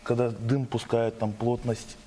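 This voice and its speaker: A man's voice: mid-range voice, level tone, unhurried, mellow, slight background noise. A young male actor talking casually and enthusiastically in an interview. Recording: music behind the voice.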